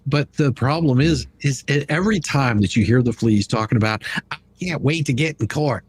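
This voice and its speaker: A man with a slightly husky voice hosting a casual livestream commentary in a blunt, mocking, colloquial way. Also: medium pitch, moderate pace, slight background noise. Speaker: livestream host.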